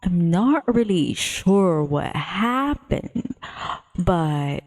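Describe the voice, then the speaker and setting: Slow-paced, giggly woman with lively pitch. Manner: giggly, exclamatory. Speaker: livestreamer host. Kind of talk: casual livestream chat while setting up and playing a visual novel. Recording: music playing behind the voice. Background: background music.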